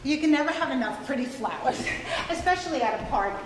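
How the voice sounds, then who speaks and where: An actress playing a prim, kindly, gently fussy woman in a live stage play. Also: sits high, lively intonation, quick pace. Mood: cheerful. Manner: gently scolding, polite, fussy. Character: prim, kindly woman organizing a prison party. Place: stage of a theater, live performance. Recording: echoey hall, faint noise.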